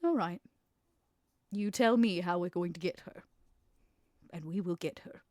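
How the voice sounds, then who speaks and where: A woman plays a female vampire smuggler in a tabletop roleplay game. Her voice sits low and is recorded dry and close. She bargains coolly, with deliberate, persuasive phrasing. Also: a little mellow, dry recording, close to an everyday voice. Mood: cool resolve.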